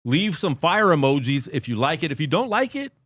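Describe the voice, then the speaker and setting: Late-forties male host, mid-pitched, animated, stumbling repeats, dry studio. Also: brisk, dry recording. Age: 40s. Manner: animated, talks over others, repeats words. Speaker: podcast host. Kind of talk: casual interview chat. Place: podcast studio.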